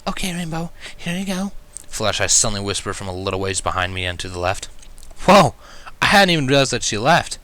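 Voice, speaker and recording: A man reading fan fiction aloud as an expressive first-person story narration. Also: on the low side.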